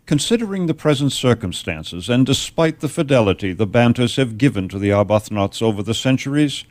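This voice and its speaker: A man playing a disdainful valet in an old-fashioned radio play, formal, pompous and deliberate. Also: medium pitch, lively intonation, a little mellow, close to an everyday voice. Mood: scornful. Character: disdainful male valet, stiffly formal, turned insolent.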